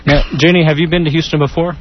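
A man's voice: on the high side, steady tone, very fast, highs cut off, a little hiss or hum. A man co-hosting a radio interview, asking the guest questions and agreeing along in a friendly way. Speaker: radio co-host. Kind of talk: casual radio interview chat.

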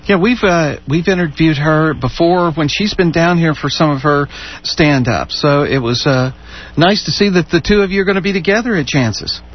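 A man's voice: a little high, moderate pace, highs cut off. A man co-hosting a radio interview, chuckling and chiming in with quips. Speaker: radio co-host. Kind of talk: casual radio interview chat.

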